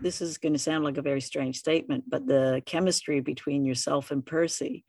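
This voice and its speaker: A woman hosting a podcast interview, speaking in a measured, encouraging way to her guest. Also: deep voice, fairly even intonation, darkish tone. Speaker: podcast host and interviewer, writer-producer.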